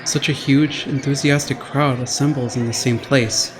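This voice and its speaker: Casting: actor for naive country boy idol, mid-range voice, earnest and reflective, anime dub over soft music. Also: medium pitch, steady tone, moderate pace, natural voice, poor audio. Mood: pensive. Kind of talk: fan dub anime game voice acting.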